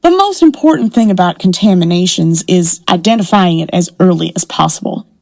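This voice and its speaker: A woman's voice: fairly low pitch, lively intonation, a little fast, creaky, darkish tone. A woman in an audio drama playing a guarded, weary scientist turned rancher, speaking in a hesitant, understated way. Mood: nervous, evasive.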